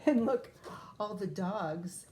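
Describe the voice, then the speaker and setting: A woman teacher reading a picture book aloud to young children, pausing to ask questions and explain words. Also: medium pitch, lively intonation, mellow. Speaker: first grade teacher. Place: classroom or home, recorded for video.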